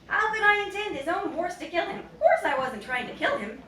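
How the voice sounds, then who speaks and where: A woman's voice: very high-pitched, big pitch swings, brisk, fairly bright tone, voice pushed up, heavily acted. A woman voicing a proud, defiant fifteen-year-old noble boy in a story reading, emphatic and protesting. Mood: indignant. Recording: roomy, distant, noisy bookstore recording.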